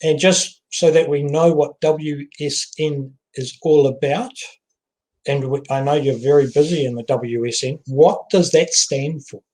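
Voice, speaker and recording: A man's voice: a little high, fairly even intonation, a little slow, a little mellow, poor audio. Chatty man hosting a video call. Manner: chatty, asks short questions, laughs easily. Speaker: host. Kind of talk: casual video call interview.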